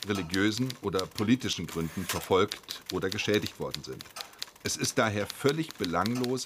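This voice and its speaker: An actor playing an officious, self-righteous office bureaucrat in a radio drama, pedantic, formal and stern. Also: male voice, low-pitched, noisy background, lightly acted. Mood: dry, dictating. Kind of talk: German radio drama acting.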